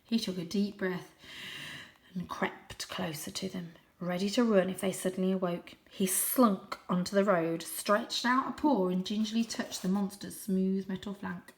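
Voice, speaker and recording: A woman reading a children's story aloud in an expressive, suspenseful, animated way. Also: medium pitch, unhurried, echoey room. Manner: animated, suspenseful, with gasped asides. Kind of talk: dramatic, expressive audiobook-style story narration.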